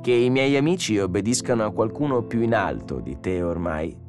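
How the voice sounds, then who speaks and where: A man voicing a calm, pious robot prophet who speaks slowly and deliberately with unshakable self-assurance. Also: lowish voice, over music, natural voice. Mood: calm, solemn. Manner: slow, deliberate, preachy delivery.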